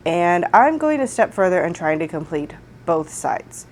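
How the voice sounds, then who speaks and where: A woman in her thirties talks through her month of books in an upbeat, chatty way, her voice a little congested from a cold. Her voice sits on the lower side for a woman. She speaks into a desk microphone in a room at home. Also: sing-song, unhurried, slight background noise. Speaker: book vlogger. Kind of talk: casual book wrap-up chat.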